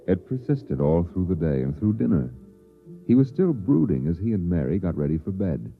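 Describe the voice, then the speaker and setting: A male actor narrating an uncanny story in a measured, dramatic old-time radio style. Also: sits low, big pitch swings. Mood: grave. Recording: tinny old radio recording with music.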